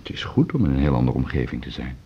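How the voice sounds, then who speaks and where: A man's voice: very deep voice, very animated, fast, husky, exaggerated voice. An actor playing an easygoing, coaxing male partner at home in intimate radio drama dialogue. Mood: relaxed, intimate. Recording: slightly dull studio radio drama.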